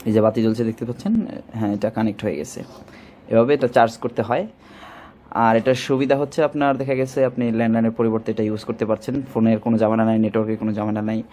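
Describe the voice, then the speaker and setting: Man, Bangladeshi Bengali accent, salesman demo, rapid-fire delivery, slight background hiss. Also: fairly low pitch, steady tone, warm tone. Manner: rapid, repetitive sales talk, reels off phone numbers. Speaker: shop salesman. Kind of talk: product demonstration pitch.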